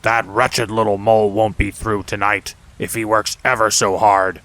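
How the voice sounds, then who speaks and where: A man voicing a gruff, bossy older goblin father with a rough, deep voice in a storybook reading. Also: level tone, moderate pace, a bit bright, lightly acted. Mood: gruff, unhurried.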